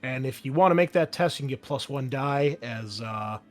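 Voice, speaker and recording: A man's voice: medium pitch, expressive, normal speed. A man explaining tabletop game rules casually as game master, thinking aloud as he goes. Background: background music.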